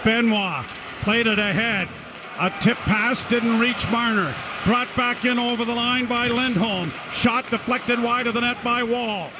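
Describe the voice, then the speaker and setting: Male radio hockey announcer, very high voice, excitable and clipped, over noisy broadcast sound. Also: unhurried. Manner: excitable, clipped running description. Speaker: play-by-play announcer. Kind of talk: live radio hockey commentary.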